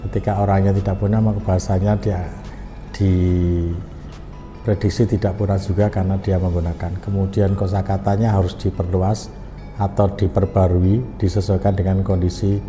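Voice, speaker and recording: A middle-aged man, explaining in a measured way as an interviewed language official. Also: low-pitched, little pitch movement, over music. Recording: echoey hall with faint noise.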